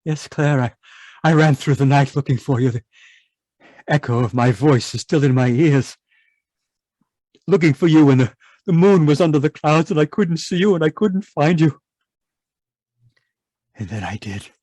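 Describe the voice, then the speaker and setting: An actor playing an anguished, haunted man in an old-time radio horror monologue, halting and repetitive in a melodramatic way. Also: on the high side, animated, dark tone, dry recording. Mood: dread, despair. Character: anguished, haunted man raving about monstrous giant worms.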